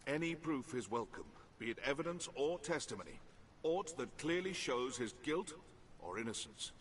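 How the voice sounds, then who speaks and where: An actor playing a stern, formal court official in a fantasy video game, using measured archaic diction. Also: male voice, a little high, animated, a little hiss or hum, lightly acted. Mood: grave, formal.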